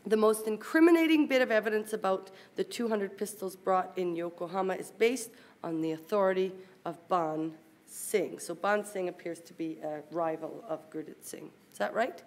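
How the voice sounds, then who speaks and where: A woman reading a formal academic paper aloud at a university conference, in a measured, careful manner. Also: medium pitch, a little mellow.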